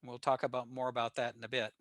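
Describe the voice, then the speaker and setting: A man's voice: medium pitch, completely flat delivery, racing, a bit bright, dry recording. An older man with an American accent gives an informal technical presentation over a video call, explaining things plainly. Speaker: guest presenter at a radio club.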